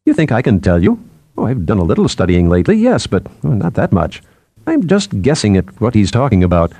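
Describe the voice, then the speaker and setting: A man voicing a brisk, slightly impatient psychologist in a conversational way for an audiobook. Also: on the low side, wildly swooping pitch, mellow, breathy edge, dry recording, exaggerated voice. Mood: flustered, offhand.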